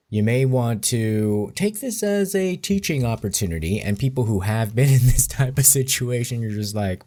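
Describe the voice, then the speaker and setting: A man giving casual commentary in a deliberate, measured way. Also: on the low side, warm tone. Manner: deliberate, measured delivery with occasional laughs. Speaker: co-host commentator.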